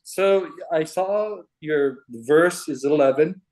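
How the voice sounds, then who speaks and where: A man from Hawaii on a video call, explaining his puzzle theories in a halting, unhurried way. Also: a little high, a little mellow, poor audio. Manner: unhurried, halting, restarts often. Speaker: caller sharing puzzle theories. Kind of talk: casual video call chat. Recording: video call audio, breaking up.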